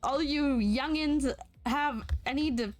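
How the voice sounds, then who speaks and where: A woman's voice: high voice, slow, faint music behind. Playful young woman hosting an interview. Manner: playful, teasing, laughs often. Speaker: interview host. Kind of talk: casual interview chat.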